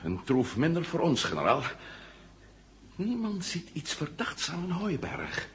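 Felle, vindingrijke avonturenheld. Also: male voice, fairly high pitch, wildly swooping pitch, a bit bright, full character voice. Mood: urgent, scheming. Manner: brisk, conspiratorial, giving orders. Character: resourceful commanding male leader in a sci-fi adventure. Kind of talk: 1970 Dutch radio drama acting. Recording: noisy old radio drama recording.

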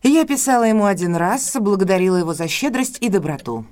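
Actress playing a proud defensive lady, medium pitch, formal, curt, guarded, audio drama. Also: animated, quick pace, a little mellow, close to an everyday voice. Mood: reserved.

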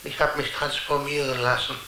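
An actor playing a kindly, scheming being from a television world in a radio drama, speaking colloquially and conspiratorially. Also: male voice, medium pitch, level tone, a little fast, bright, forceful tone. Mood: assured, confiding. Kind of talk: German radio drama acting. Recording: roomy sound with faint hiss.

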